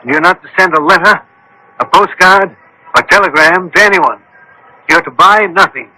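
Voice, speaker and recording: An actor playing a commanding, precise scientist-detective in a radio drama, speaking formally and with authority. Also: male voice, sits high, moderate pace, pushed and bright, exaggerated voice, low-quality recording. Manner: authoritative, formal, giving crisp orders. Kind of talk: 1970s-80s radio drama acting.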